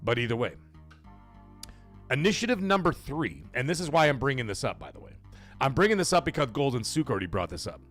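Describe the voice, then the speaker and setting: A man hosting a casual livestream, loudly thanking chat supporters between serious talk. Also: mid-range voice, very animated, a little fast, soft background music. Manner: hyped, shouts out chat supporters. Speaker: livestream host.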